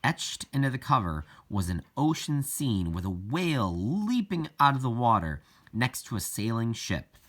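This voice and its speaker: A man reading a children's novel aloud as scripted narration, with deliberate, halting phrasing. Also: medium pitch, very animated, fairly bright tone. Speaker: audiobook reader.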